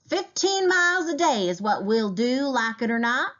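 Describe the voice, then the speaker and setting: Woman acting a furious frontier trail boss, pitched up. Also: very animated, moderate pace, bright, forceful tone, dull audio, heavily acted. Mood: furious, threatening. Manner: threatening, emphatic. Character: furious, menacing frontier trail leader, a man. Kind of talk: children's historical novel read aloud.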